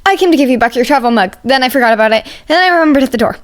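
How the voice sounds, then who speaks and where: Woman voicing an excitable high-pitched young male student. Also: rapid-fire. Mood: flustered. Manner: gushing, dramatic, flustered. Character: anxious, excitable, sleep-deprived young male college student.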